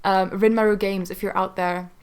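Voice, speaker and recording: A woman's voice: mid-range voice, little pitch movement, normal speed, a little hiss or hum. A woman hosting a podcast in casual chat, with a jokey, sweary way of talking. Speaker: podcast host.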